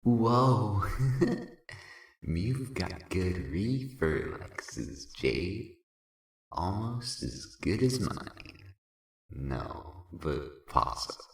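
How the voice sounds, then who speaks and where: A man's voice: low voice, full character voice. A man playing a cocky, goofy sprite creature with clawed hands in a fan fiction audio drama, talking in a casual slangy way. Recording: roomy echo.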